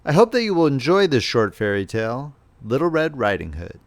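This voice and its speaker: A man narrating a children's fairy tale as a scripted, expressive audiobook reading. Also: mid-range voice, a little fast.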